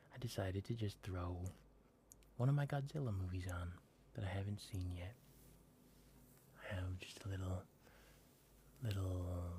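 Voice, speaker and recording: A man whispering softly as he chats with viewers on a late-night ASMR stream. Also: low voice, a little hiss or hum. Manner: soft whisper, slow and drawn out. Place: home at night.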